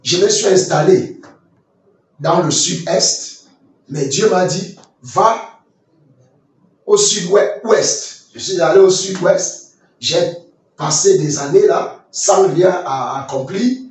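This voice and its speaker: A man from Nigeria teaching a Bible lesson in sermon style, telling his own testimony and reading scripture slowly, often repeating words and restarting phrases. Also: high voice, heavy reverb, far from the mic, poor audio. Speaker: Sunday school teacher.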